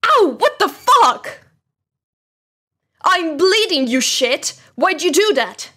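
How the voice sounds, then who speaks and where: A woman's voice: extremely high pitch, sing-song, slow, heavily acted. A woman playing a brash, foul-mouthed fairy-tale princess, sardonic and blunt, in a comic dub. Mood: shocked, angry. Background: soft music.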